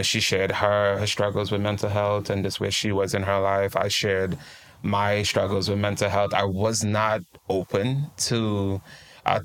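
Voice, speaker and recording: A man talks in a low, gravelly voice. He shares his story in a reflective, open way, like a guest on a casual interview podcast. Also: fairly bright tone, low-quality recording. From Trinidad and Tobago. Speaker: guest life coach.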